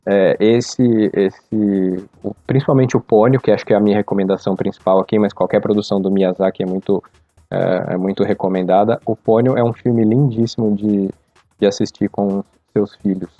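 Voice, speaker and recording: A man's voice: fairly low pitch, steady tone, normal speed, no room sound, soft background music. A man with a Brazilian accent speaking casually and earnestly on a podcast, in a reflective way. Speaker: podcast participant. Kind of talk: casual spoken recommendation. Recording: slightly dull, lo-fi microphone sound.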